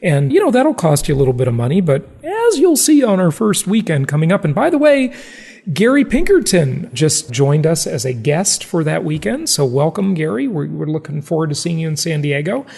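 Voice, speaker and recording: American man hosting, gravelly and echoing. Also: fairly high pitch, big pitch swings, normal speed, darkish tone. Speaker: podcast host, real estate investing. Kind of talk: conversational podcast talk.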